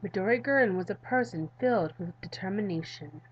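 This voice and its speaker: A woman with a medium-pitched voice hosts a gaming video. She reads visual novel narration aloud and talks casually to viewers. The sound is a little dull and lo-fi. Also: lively intonation, brisk, slightly rough. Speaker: gaming YouTuber.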